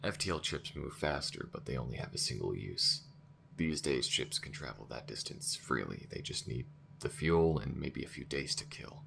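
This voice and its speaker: A man's voice: rumbling low, lively intonation, normal speed, vocal fry, a bit bright, a little hiss or hum, exaggerated voice. A man playing a bitter, fiery male alien war veteran in a sci-fi audio roleplay, speaking bluntly. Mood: wary. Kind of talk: ASMR-style scripted sci-fi roleplay acting.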